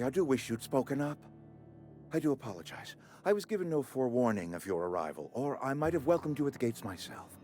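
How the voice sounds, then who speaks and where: A man's voice: mid-range voice, darkish tone, close to an everyday voice. Actor as a florid, sing-song pompous male administrator. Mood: flustered courtesy. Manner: formal, florid, emphatic phrasing. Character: pompous, genteel male company-town administrator, verbose and anxious. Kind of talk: video game character acting.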